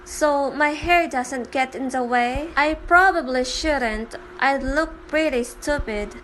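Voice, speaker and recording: High-voiced woman reading drama at a slow pace. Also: a bit bright, a little hiss or hum, low-quality recording. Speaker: fan comic dubber. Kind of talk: scripted dramatic reading of a comic dub.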